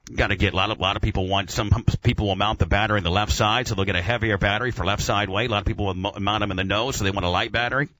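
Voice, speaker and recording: A man's voice: lowish voice, steady tone, racing, hardly any pauses, sharp tone, no echo. A man hosting a casual radio show about auto racing, talking in a slangy, informal and opinionated way.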